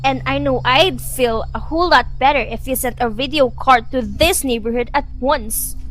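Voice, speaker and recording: A woman's voice: high-pitched, moderate pace, fairly bright tone, faint music behind, rough recording. A young woman playing an anxious, demanding, self-pitying invalid wife in a suspense radio drama, insistent and fretful. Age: young adult. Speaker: student radio drama actor.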